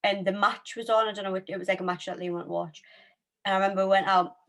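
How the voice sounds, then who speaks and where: A woman's voice: mid-range voice, fairly even intonation, fast talker, fairly bright tone. A woman of about twenty chatting casually as a co-host, often telling stories in a giggly way.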